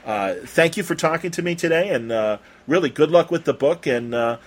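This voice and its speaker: A man's voice: on the high side, animated, brisk. An American man hosting a podcast in a casual, chatty style, talking enthusiastically and in a rambling way. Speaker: podcast host. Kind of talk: casual interview and chatty sponsor reads.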